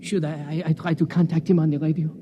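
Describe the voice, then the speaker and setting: A man's voice: a little high, little pitch movement, fast talker, lo-fi sound, natural voice. A male actor playing a nervous, fearful crewman in a 1950s radio drama, hesitant and anxious. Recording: noisy old 1950s radio recording.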